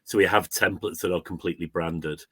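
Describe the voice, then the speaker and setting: Guy with a deep voice, chatty business guest, really dry sound no echo. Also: fairly even intonation, brisk, pushed and bright, husky. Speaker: recruitment agency client guest. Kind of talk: conversational testimonial.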